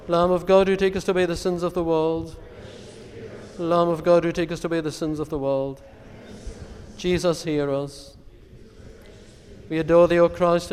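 A man with a fairly high-pitched voice speaks as a priest in a large, reverberant space. His delivery is solemn and reverent, in measured devotional phrases. Long reverb trails behind his words. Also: a little mellow. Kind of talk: solemn sermon and recited litany prayers.

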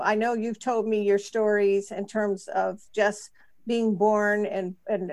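A woman hosting a radio interview, introducing her guest in a chatty, friendly way. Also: medium pitch. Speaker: host.

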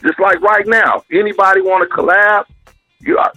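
A man from Oklahoma with a deep voice, talking casually as a guest in a radio interview, loose and repetitive. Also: fairly even intonation, normal speed, sharp tone, no echo, muffled recording, faint music behind. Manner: loose and casual, repeats himself, slangy. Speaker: guest musician.

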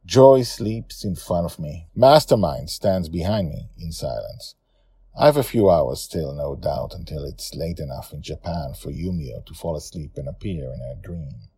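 Guy doing an old grandpa telling his dream story, husky, wistful, pitch wanders a lot. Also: lively intonation, mellow, dry recording, heavily acted. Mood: musing. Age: older. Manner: musing, unhurried, wistful. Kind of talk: first-person audio story narration.

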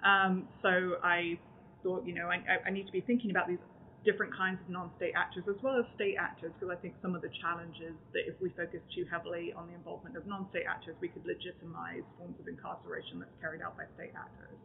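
A woman author speaks to a room in a slightly gravelly, fairly level voice. She is thoughtful and academic, pausing to choose her words. The sound is thin and phone-like, with a light hiss underneath. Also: on the low side, little pitch movement. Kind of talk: conversational academic talk at a book event. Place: event room in front of an audience. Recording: tinny phone-like sound with faint noise.

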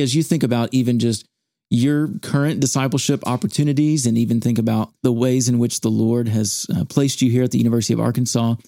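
A man hosting a studio podcast interview, measured and earnest, with church phrasing. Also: mid-range voice, vocal fry, mellow, no echo. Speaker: podcast host, campus ministry staff.

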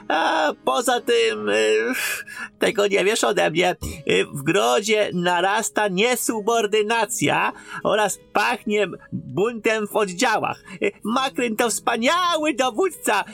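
A man acting an obsequious, gossiping innkeeper in a fantasy audio drama, with a fawning, halting manner. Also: sits high, gravelly, a bit bright, heavily acted. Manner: fawning, halting, drawn-out delivery.

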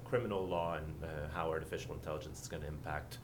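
Deep quebec french accent guy, visiting prof on a podcast, lots of uhs, slight hum behind. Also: steady tone, quick pace. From Quebec, Canada. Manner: hesitant, many uh fillers. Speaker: visiting law professor. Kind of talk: podcast interview.

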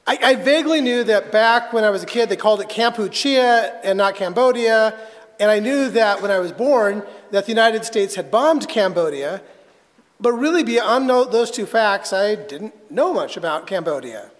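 A man preaching a sermon as a pastor, telling a personal story earnestly and haltingly, with dramatic pauses. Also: high voice, lively intonation. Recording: reverberant hall.

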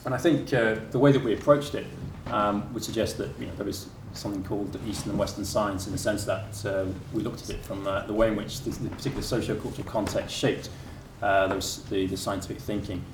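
A man giving an academic conference talk, halting with many fillers and restarts, in a lecture room. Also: lowish voice, fairly even intonation. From England. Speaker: academic speaker. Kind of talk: conference presentation. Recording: echoey room from far off with faint noise.

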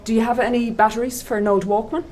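An actress playing a haunted middle-aged lecturer in a radio drama, edgy and abrupt. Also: female voice, fairly high pitch, fairly even intonation, quick pace, darkish tone, slight background noise, lightly acted. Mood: urgent.

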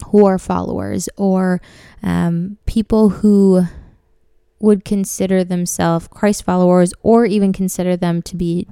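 Young woman podcast host, raspy dry throat, easygoing chatter, dry close-miked sound. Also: fairly low pitch, warm tone, no room sound. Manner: chatty, laughs often, frequent ums. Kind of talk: casual faith-based podcast monologue.

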